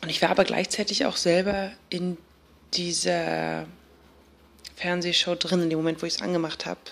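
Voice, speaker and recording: Junge Frau, stockend, mit Knarren in der Stimme. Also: female voice, lowish voice, level tone, creaky. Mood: hesitant, bemused. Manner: halting, colloquial, with fillers and self-corrections. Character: young woman recounting a strange dream, searching for words. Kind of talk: naturalistic radio drama monologue.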